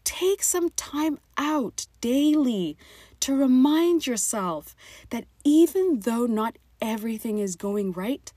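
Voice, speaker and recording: A woman's voice: a little high, expressive, slow pace, warm tone, slightly rough, no echo. A woman in her thirties from Zimbabwe hosting her own podcast, talking frankly and encouragingly to listeners, with casual swearing. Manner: frank, profane, encouraging, talks straight to listeners. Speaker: podcast host. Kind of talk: personal podcast monologue.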